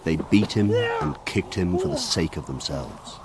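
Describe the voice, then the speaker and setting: Reflective male storyteller, deep voice, measured literary narration for radio drama, noticeable background noise. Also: wildly swooping pitch, full character voice. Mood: grave. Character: reflective adult narrator recalling his village childhood. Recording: clearly noisy background.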